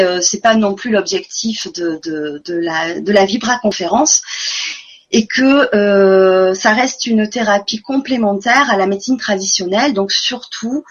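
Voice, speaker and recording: Woman webinar host, husky voice, lo-fi stream sound, earnest and emphatic. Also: fairly high pitch. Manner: warm and earnest, doubles words for emphasis. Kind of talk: live online conference hosting.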